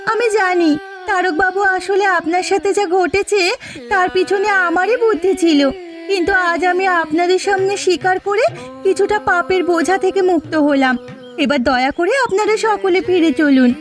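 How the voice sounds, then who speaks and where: Cartoon role: imploring, remorseful village wife female actor, extremely high voice, melodramatic delivery. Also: normal speed. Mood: tearful, confessing. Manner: earnest, imploring.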